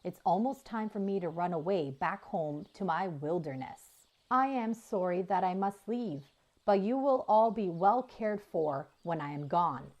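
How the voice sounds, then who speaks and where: A woman voicing a kind, patient female robot in a children's story, measured and sincere. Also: on the low side, animated, darkish tone. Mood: apologetic.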